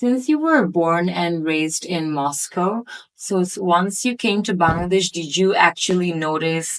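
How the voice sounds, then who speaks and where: Host: young woman, lowish voice, relaxed chatty questions with fillers. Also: moderate pace, slight background noise, low-quality recording. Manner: asks long winding questions with fillers. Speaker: podcast host. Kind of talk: casual interview.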